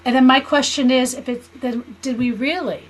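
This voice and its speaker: American woman, 50s, slightly high voice, earnest podcast guest explaining ideas conversationally. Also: steady tone, normal speed. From United States. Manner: earnest, explanatory, seeks agreement with "right?". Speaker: guest, spiritual healer and teacher.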